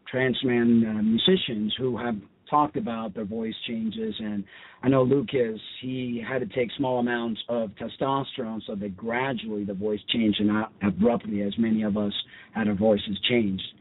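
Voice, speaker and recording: A man's voice: medium pitch, normal speed, creaky, slightly rough. A man hosting a radio show and interviewing casually. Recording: muffled, thin phone-like radio audio.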